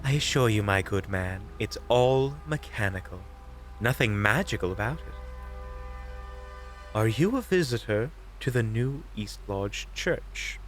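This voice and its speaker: A man playing a witty, unflappable investigator in an audio roleplay, with a coy, theatrical, mockingly polite and drawn-out delivery. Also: lowish voice, lively intonation. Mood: smug, courteous. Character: flamboyant, unflappable, witty investigator in their prime. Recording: background music.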